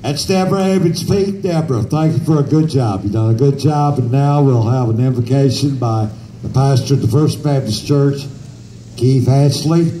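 An echoey outdoor PA carries a male announcer. His voice is very dark and mellow, and he makes informal stage announcements. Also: medium pitch, moderate pace. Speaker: event announcer. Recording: echoey outdoor PA, faint noise.

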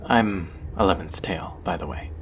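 A man playing a gentle, charming stranger on a train in a soft ASMR hypnosis roleplay, soothing and often drifting into breathy whispers. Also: normal speed, close to an everyday voice. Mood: friendly, charming. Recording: loud train rumble behind the voice. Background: train ambience sound effects.

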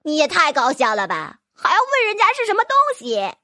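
A woman voicing a small, sharp-tongued magical pet beast with a mocking, scornful manner. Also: high voice, huge pitch swings, a little fast, no echo, studio quality, heavily acted.

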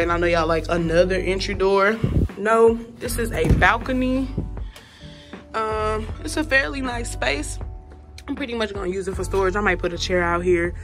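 Chatty woman touring an empty apartment. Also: on the low side. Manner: chatty, informal slang, addresses viewers directly. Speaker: vlogger giving an apartment tour. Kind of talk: casual apartment tour vlog. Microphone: phone camera held while walking.

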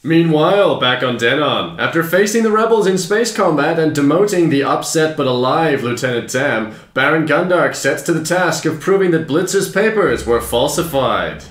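A man's voice: a little high, animated, moderate pace, hardly any pauses, echoey room, background noise, close to an everyday voice. A man playing a dramatic narrator, delivering scripted cliffhanger narration for a space adventure serial.

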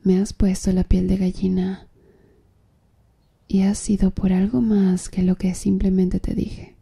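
A seductive female tattoo artist acted by a woman in an ASMR roleplay, teasing the listener in a breathy murmur. Her voice is very dark and mellow, husky, and unhurried. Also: medium pitch, little pitch movement, heavily acted. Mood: seductive, intimate. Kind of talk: Spanish-language ASMR roleplay.

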